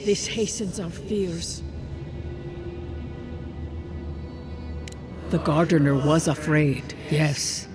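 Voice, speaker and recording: An actor performs an ancient spirit guardian in a fantasy video game. The delivery is very unhurried and solemn, with formal, archaic phrasing. Prominent music plays underneath. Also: mid-range voice, lightly acted. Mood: grave, foreboding.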